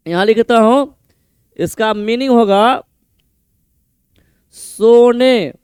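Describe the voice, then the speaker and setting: A male teacher, explaining idioms for an exam and repeating each meaning slowly as he writes. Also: extremely high pitch, clean tone, dry recording, clean studio sound. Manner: mixes Hindi explanation with English example sentences. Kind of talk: exam-prep idiom lesson, writing on a board.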